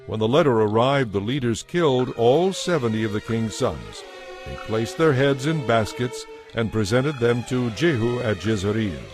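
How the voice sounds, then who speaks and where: A man's voice: mid-range voice, expressive, moderate pace, music in the background. A man giving scripted narration for a dramatized audio Bible.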